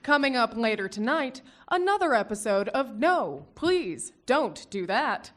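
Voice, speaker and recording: A polished public radio announcer, played by a woman, with a fairly high voice and smooth, even delivery. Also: a little slow.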